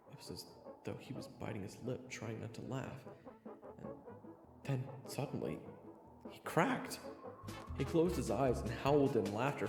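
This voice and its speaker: A man's voice: medium pitch, gravelly. A man playing a self-deprecating young swordsman who narrates his own fantasy story, nervous and sometimes stammering. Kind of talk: first-person scripted narration and acting.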